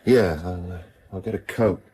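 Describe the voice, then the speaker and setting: Man acting a deep-voiced, dry police detective. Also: moderate pace, mellow, close to an everyday voice. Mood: calm, persuasive. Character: widowed male police detective, dry, calm, world-weary. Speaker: actor in a radio crime drama. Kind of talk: naturalistic radio drama acting.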